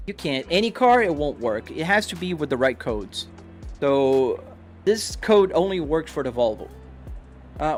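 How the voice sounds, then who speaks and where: A man from Brazil, a native Portuguese speaker, chatting casually on a livestream as he answers viewer questions from a driving simulator. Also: on the high side. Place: home office sim rig. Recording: music playing behind the voice. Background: music, car engine sounds from game.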